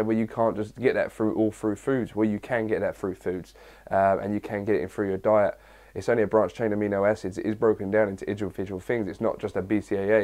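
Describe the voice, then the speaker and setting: A young man from England with a cold and a hoarse voice, chatting his way through a casual Q&A vlog. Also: on the low side, a little mellow. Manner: chatty, rambling, reads questions aloud. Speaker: fitness vlogger.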